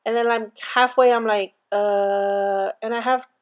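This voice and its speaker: A woman with a light accent talks her viewers through a crafting project. Her voice sits a little high, and she rambles in a friendly, chatty way, full of fillers and restarts. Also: fairly even intonation, unhurried, dull audio. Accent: non-native English accent. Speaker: crafting channel host. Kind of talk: casual crafting tutorial chat.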